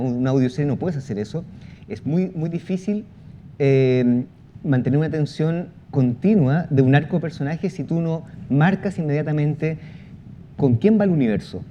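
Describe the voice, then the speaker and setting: A man explaining his craft as a panel guest, halting and repeating words as he goes. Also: a little high, dark tone. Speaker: panel guest, audio fiction creator. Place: live conference panel. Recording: muffled event sound with faint noise.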